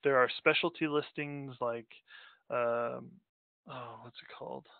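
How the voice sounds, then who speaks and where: A man's voice: medium pitch, steady tone, measured pace, vocal fry, no room sound. A man from Denmark presenting a business webinar in a casual, rambling way, full of fillers and restarts. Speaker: company president presenting a webinar. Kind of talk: unscripted webinar presentation over slides. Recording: tinny phone-like audio, dry.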